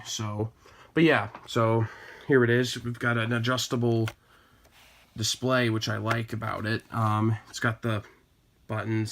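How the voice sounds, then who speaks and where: A man's voice: fairly low pitch, a little hiss or hum. A man presenting items from his collection in a casual, plain-spoken vlog style, thinking aloud as he goes. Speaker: collector presenting weather radios. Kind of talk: casual product showcase vlog.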